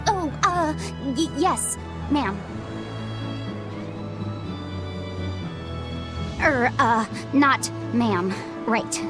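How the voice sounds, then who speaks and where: An actress playing a shy, earnest, bookish young child in a video game, polite and eager. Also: female voice, high-pitched, lively intonation, drawn-out words, over music, full character voice. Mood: flustered. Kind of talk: English game voice acting.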